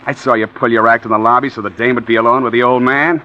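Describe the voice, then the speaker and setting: A man's voice: mid-range voice, steady tone, quick pace, close to an everyday voice. An actor playing a sly, taunting elevator man who blackmails, in 1940s radio acting on an old dull recording. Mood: smug menace. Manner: smug, drawling, taunting.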